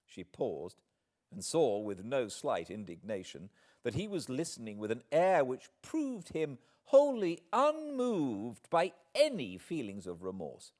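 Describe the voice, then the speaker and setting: A man's voice: mid-range voice, huge pitch swings, unhurried, a little mellow. A man with a British accent giving a dramatic literary narration as an audiobook reader. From Britain.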